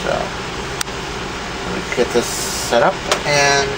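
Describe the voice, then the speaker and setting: A man with a medium-pitched voice talks casually as he demonstrates something for a homemade video. His delivery is offhand and rambling, unscripted throughout. A clear humming noise sits behind his voice on the camera recording. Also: fairly even intonation, takes it slowly. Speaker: video host showing vintage electronics. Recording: clear background hum and rumble noise.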